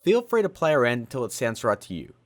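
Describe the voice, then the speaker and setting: A man hosting a casual software tutorial in a cheeky, self-mocking way, with jokey asides. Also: mid-range voice, huge pitch swings, fast talker. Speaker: host of a video-editing tutorial show. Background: music.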